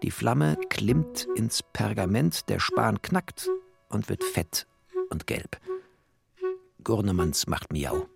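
An actor playing a wry, bookish narrator, a poor postwar settler, in literary first-person narration. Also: male voice, lowish voice, sing-song, slow pace, creaky, loud music behind the voice, exaggerated voice. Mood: wry, matter-of-fact. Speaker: narrator of a literary radio play.

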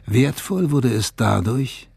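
Very husky, gravelly townsman narrator. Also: medium pitch, animated, slow, a little mellow, full character voice. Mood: reflective. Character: reflective townsman narrator recalling local gossip. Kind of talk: literary radio drama narration.

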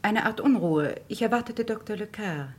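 A woman acting a composed, guarded doctor under questioning in a German radio crime drama, speaking in a measured, precise way. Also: medium pitch, animated, darkish tone, slightly rough.

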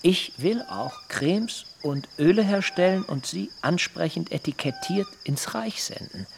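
Shy young idealist with a creaky voice. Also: male voice, high-pitched, expressive, a little slow, exaggerated voice. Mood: earnest, eager. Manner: earnest, hesitant. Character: shy, thin young idealist with earnest grand plans. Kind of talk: German radio drama acting. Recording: clear background ambience noise.

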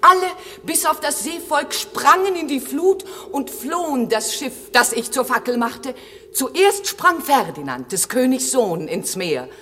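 An actress playing an eager, dutiful airy spirit servant in a classic German radio drama, declaiming verse in a lively, lyrical way, with long reverb. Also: female voice, sits high, unhurried, slightly rough, exaggerated voice. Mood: eager reporting.

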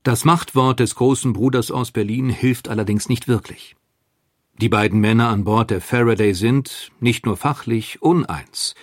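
A man narrating a scripted history documentary in a calm, factual delivery. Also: mid-range voice, moderate pace, no room sound, studio quality.

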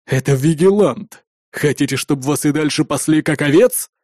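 A man's voice: on the high side, lively intonation, moderate pace, warm tone, no room sound, studio quality, close to an everyday voice. Man acting a cold, menacing armoured raider. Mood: snarling. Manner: scornful, threatening.

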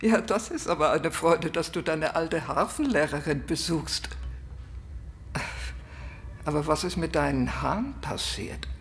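A woman's voice: sits low, measured pace, creaky, slight background noise. An actress playing an affectionate, concerned older woman, a former teacher, in a radio drama. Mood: delighted.

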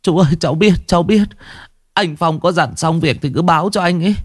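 A sniveling young thug begs in a high, thin, tearful voice, as a male storyteller puts him on. He whimpers and stumbles over his words. The sound is dry and close. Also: moderate pace, no echo, natural voice. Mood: whimpering plea. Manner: tearful pleading, stammering.

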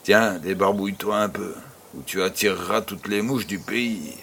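Man playing a low-voiced kindly old farmer grandfather. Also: vocal fry, fairly bright tone, a little hiss or hum. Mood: fond, teasing. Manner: affectionate, teasing, unhurried storyteller. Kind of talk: French-language audio drama acting.

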